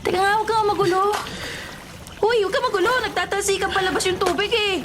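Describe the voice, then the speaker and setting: A woman's voice: very high-pitched, fairly even intonation, quick pace, noisy background. An actress playing a boyish young woman, an exasperated but caring best friend, nagging and teasing in a radio drama. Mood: flustered, nagging. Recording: loud music behind the voice.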